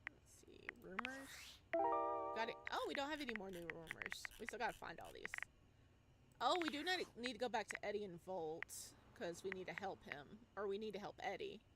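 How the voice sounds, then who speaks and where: A woman doing playful, expressive gameplay commentary, slipping between reading text aloud and exclaiming in singsong asides. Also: medium pitch, a little fast, over loud music. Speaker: game streamer.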